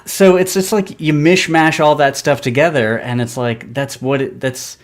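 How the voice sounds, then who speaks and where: A man's voice: steady tone, moderate pace. Rambling man telling a story, full of "like". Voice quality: describes his own voice as high. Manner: rambling, filler-heavy storytelling with frequent "like". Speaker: comic writer and artist, guest. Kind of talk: casual live-stream interview.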